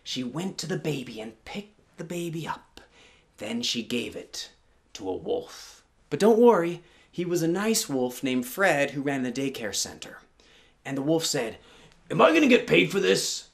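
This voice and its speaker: A man's voice: medium pitch, big pitch swings, a little slow. A man telling a folktale as a live storyteller, in a dramatic, theatrical, tongue-in-cheek way.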